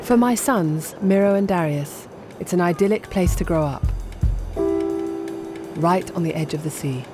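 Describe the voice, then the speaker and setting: Low-voiced woman narrating a documentary. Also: measured pace. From Iran. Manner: calm, reflective first-person telling. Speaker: documentary presenter. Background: music under the narration.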